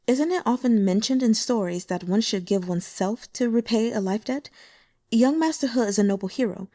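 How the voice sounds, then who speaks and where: A woman's voice: fairly high pitch, normal speed, dry recording, clean studio sound, lightly acted. A woman doing a coy, scheming young woman. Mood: sweet. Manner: soft, demure and sweetly pleading.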